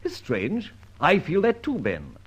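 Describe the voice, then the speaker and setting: An actor playing a suave, flippant British gentleman adventurer in an old-time radio detective drama, with a teasing, droll manner. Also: a little high, wildly swooping pitch, a little slow, husky, exaggerated voice. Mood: affable, intrigued. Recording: dull, noisy old radio recording.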